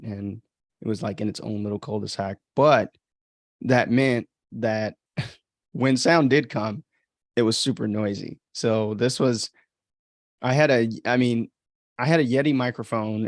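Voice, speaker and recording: American guy podcaster just talking casually, bit of creak in his voice, dry close sound. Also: fairly low pitch, moderate pace, no echo. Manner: chatty, informal, reflective asides. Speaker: podcast author and host. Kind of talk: casual off-the-cuff commentary.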